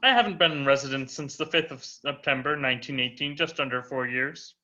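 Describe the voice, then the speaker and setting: A man's voice: medium pitch, fairly quick, pushed and bright, lightly acted. A man playing a witty, unflappable Russian count on trial in an amateur dramatic reading, formal, eloquent and dryly flippant. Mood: dryly haughty. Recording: dull, muffled lo-fi recording.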